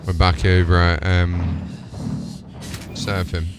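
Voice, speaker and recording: A man's voice: sits low, monotone, takes it slowly, heavy background noise. A man chatting casually as a game livestreamer, thinking aloud in an informal way. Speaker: live streamer.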